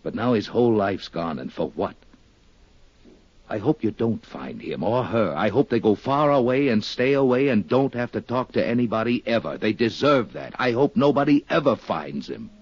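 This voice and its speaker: An actor playing a weary, remorseful male lawyer who talks in a heavy, halting, regretful way in a 1950s radio drama. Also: mid-range voice, lively intonation, a little mellow, husky, no echo. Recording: dry, dull 1950s radio recording.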